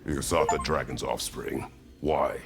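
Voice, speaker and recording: A man's voice: a bass voice, fairly even intonation, a little slow, creaky, heavily acted. A man playing a stoic, hulking warrior in a video game, speaking in terse, blunt, solemn phrases. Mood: stern, blunt. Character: stern, stoic, hulking warrior of few words. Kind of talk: video game character dialogue.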